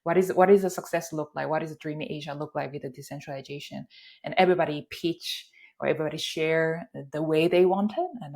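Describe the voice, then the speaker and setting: A woman guest on a conversational podcast, speaking earnestly and searching for words with many fillers. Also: low-pitched.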